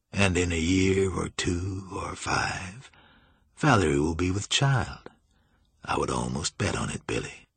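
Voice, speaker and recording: A man voicing a suave, slyly menacing male vampire master in an audiobook, with a courtly, languid, softly mocking manner. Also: no echo, full character voice. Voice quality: low, smooth, musical. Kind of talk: audiobook character acting.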